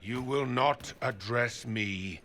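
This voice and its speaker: Haughty, slow-spoken knight commander. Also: male voice, medium pitch, sharp tone, a little hiss or hum. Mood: scornful, commanding. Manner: contemptuous, imperious, deliberate declaiming. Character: haughty, power-hungry male commander of holy knights. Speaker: military order commander. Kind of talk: dramatic fantasy game acting.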